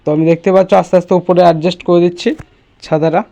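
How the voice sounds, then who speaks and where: A man's voice: sits high, little pitch movement, very fast, creaky, warm tone. A man presenting a casual step-by-step screen tutorial, instructing and often checking that the listener follows.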